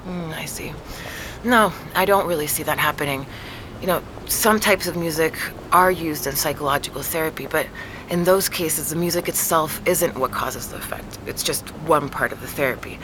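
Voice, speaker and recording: An actress playing a calm woman neurologist who explains things patiently and weighs the evidence, in an audio drama. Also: low voice, normal speed, noisy background, lightly acted. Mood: explaining.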